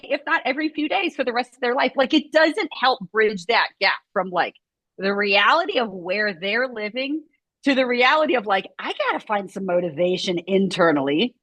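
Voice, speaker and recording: Chatty woman talking fast. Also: a little high, fairly bright tone, no room sound. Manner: chatty, rambling, lots of "like" fillers. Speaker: scoliosis movement studio owner. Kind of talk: casual video chat conversation.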